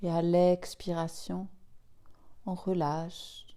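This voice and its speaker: A woman leading a guided yoga nidra relaxation, speaking gently and soothingly in short, spaced phrases. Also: lowish voice, flat delivery, takes it slowly. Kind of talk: slow guided relaxation meditation.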